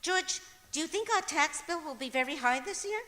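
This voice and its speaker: A woman's voice: high-pitched, expressive, moderate pace, bright, forceful tone. A woman playing a scatterbrained, guileless wife in an old-time radio comedy performed on stage, earnestly delivering cheerful nonsense. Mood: naive, puzzled. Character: scatterbrained, cheerfully illogical wife with her own daffy reasoning. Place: performing arts center auditorium, live stage. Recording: echoey auditorium stage hall.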